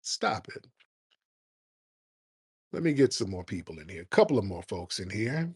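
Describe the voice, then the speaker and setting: A man runs a live call-in show. He talks in a brash, mocking, animated way, cutting callers off and pushing back hard. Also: lowish voice, normal speed, husky. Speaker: host.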